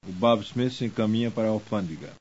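A man reading language course drills in slow, deliberate, evenly paced sentences. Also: fairly low pitch, darkish tone, breathy edge. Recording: dull lo-fi course tape.

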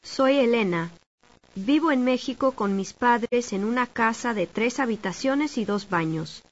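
Woman, higher voice, slow careful course reader, lo-fi. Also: expressive. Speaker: language course speaker. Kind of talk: scripted dialogue for a language lesson. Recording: dull lo-fi tape audio.

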